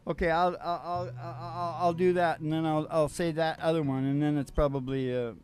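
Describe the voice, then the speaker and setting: A man's voice: fairly high pitch, level tone, fairly quick, a little mellow. Middle-aged male podcast co-host, absent-minded folksy storyteller, casual and meandering, light guitar music underneath. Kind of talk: rambling comic storytelling, casual chat.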